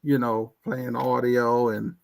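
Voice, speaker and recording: A man's voice: medium pitch, level tone, takes it slowly, gravelly. A man talking as a guest in a casual online chat, stammering with many restarts. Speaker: guest IT professional.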